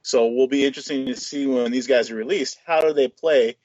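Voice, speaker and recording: Mid-pitched man, dry lo-fi podcast sound. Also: brisk, darkish tone, dry recording. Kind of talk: casual hobby podcast chat about game rules. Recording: dull, lo-fi podcast audio.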